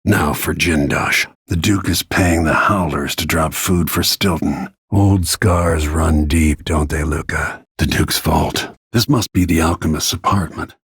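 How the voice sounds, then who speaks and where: A man voicing a grim, middle-aged assassin and protective father in a video game, gravelly and husky, with terse, brooding, controlled delivery. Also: extremely low pitch, unhurried, few pauses, a bit bright, dry recording, studio quality, exaggerated voice. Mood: grim, determined.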